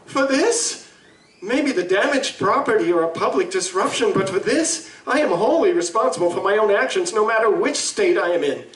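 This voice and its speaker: A man playing an anguished Victorian gentleman scientist in a live audio drama, speaking formally, eloquently and deliberately. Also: high-pitched, lively intonation. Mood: distraught, emphatic. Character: anguished gentleman scientist confessing to a monstrous alter ego. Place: live convention performance in a room. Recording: roomy live stage recording, distant mic.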